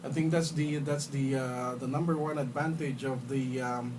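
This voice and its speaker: Male radio hobbyist, halting and repetitive, recorded a little off-mic on a phone amid clear background noise. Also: mid-range voice, steady tone. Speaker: amateur radio operator. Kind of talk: casual ham radio contact. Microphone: phone recording in radio room. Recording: noisy phone recording, slightly distant voice.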